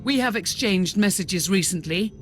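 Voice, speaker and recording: Game role: measured, wry aide to an inquisitor female actor, mid register, some music underneath. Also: mid-range voice, expressive, close to an everyday voice. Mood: composed, informative. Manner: measured, formal, dryly wry. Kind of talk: video game cutscene dialogue.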